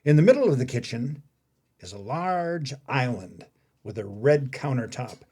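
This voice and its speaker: A man reading scripted announcements and stage directions as a narrator, in a formal, measured way. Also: mid-range voice, warm tone, slightly rough.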